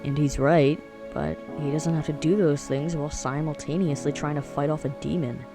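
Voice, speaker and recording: A man's voice: on the high side, steady tone, creaky. A man as an earnest, reassuring young friend over music. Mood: encouraging. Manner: emphatic, reassuring, deliberate phrasing. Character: earnest, supportive young friend Alex, encouraging and protective. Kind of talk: audio drama acting.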